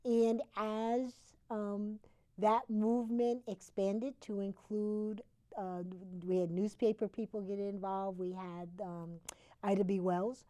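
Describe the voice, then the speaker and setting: A woman from Connecticut, a talk show guest, explaining history in a halting way with many fillers and self-corrections. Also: mid-range voice, flat delivery, mellow.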